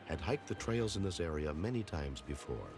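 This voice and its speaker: A man's voice: low voice, lively intonation, moderate pace, over music. A man narrating a documentary about true events in a dramatic, scripted style.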